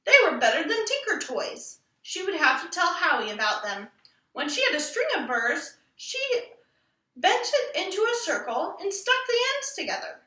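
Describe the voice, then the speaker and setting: A woman reading a children's book aloud in an expressive storytelling style. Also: high voice, normal speed. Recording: roomy echo, distant from mic.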